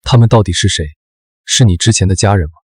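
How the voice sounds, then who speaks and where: Low, husky protective young man. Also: fast, no echo. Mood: concerned. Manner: firm, assured. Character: protective, commanding young male rescuer with cold authority. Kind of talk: short-drama acting.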